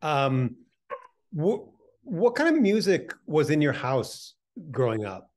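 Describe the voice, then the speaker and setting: Male interview host with an animated, lively pitch; friendly and joking, with some hesitant fillers. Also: takes it slowly. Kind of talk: casual interview.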